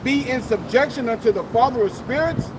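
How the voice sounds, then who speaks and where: A man reading scripture aloud slowly and emphatically. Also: very high-pitched. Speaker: scripture reader. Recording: outdoor wind noise.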